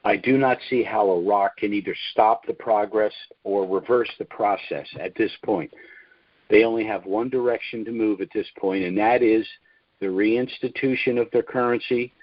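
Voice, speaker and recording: A man hosting a conference call, giving an informal update in a measured, hesitant way. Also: on the low side, steady tone, no room sound. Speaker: host of an investment conference call. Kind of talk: informal spoken update to callers. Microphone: conference call line. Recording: dull lo-fi conference call audio.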